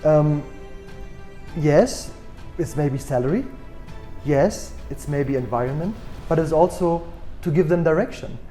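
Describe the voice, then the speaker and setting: A man in his forties with a German accent, answering interview questions thoughtfully with many pauses and restarts. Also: fairly high pitch, roomy sound, music in the background. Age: 40s. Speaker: guest executive.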